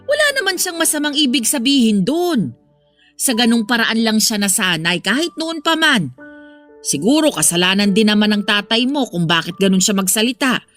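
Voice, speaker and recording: A woman's voice: a little high, lively intonation, normal speed, a bit bright, faint music behind. A woman with a Filipino accent acting a weary, pleading mother who placates and sighs in an audio drama. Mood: placating, weary. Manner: placating, exasperated, sighing. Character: weary, pleading mother of two grown daughters.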